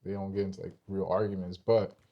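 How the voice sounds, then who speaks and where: A man's voice: sits low, little pitch movement, fast, gravelly, dull audio. A young man co-hosting a podcast, talking casually about relationships and explaining step by step while checking for agreement. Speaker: podcast co-host.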